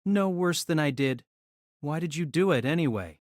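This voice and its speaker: A woman playing a gentle, honest teenage boy in love, in an audio drama. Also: sits low, animated, normal speed, no echo, studio quality. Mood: earnest.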